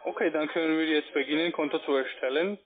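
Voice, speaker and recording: A man with a foreign accent, not a native speaker, making an insistent phone sales pitch in halting phrases. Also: steady tone. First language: not German. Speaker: call center investment agent. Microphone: phone call. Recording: tinny phone line, crowded background.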